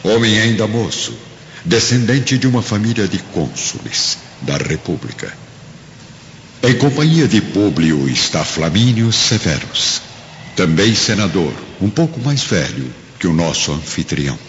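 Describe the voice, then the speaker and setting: A dull recording with clear noise carries a male narrator with a very deep voice. He reads radio drama narration in a formal, measured way. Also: very animated.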